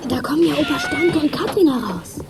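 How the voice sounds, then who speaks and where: A plucky young girl detective in a children's radio play. Her voice is high and impatient, and there is clear noise behind it. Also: lively intonation, fairly quick. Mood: impatient, alert.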